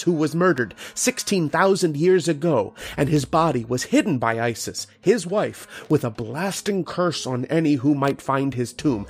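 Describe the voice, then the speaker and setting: A man playing a grave, haunted archaeologist in old-time radio horror, with dramatic, deliberate delivery. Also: on the high side, husky.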